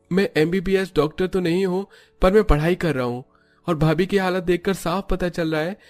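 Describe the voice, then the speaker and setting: A man's voice: sits high, a little mellow, voice pushed up, lightly acted. A man voicing a polite young medical student in a cartoon story, explaining things respectfully. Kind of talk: Hindi cartoon story character voice.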